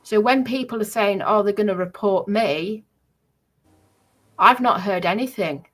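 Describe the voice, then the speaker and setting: A woman talks on a livestream in a middling-pitched voice. She sounds aggrieved and emphatic, circling back and repeating phrases to drive her point home. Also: a little slow, fairly bright tone. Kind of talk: aggrieved personal talk on a livestream.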